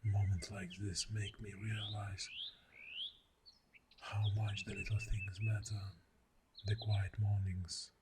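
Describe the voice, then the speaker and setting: Birdsong and outdoor sound surround a low, soft male voice. A man acts a tender, clingy boyfriend in an ASMR roleplay. He talks extremely slowly and affectionately, often dropping to an intimate whisper, with lingering pauses. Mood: devoted, soothing. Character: clingy, tender, devoted boyfriend on a morning camping trip. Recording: steady outdoor nature sound noise. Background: birdsong and nature sounds.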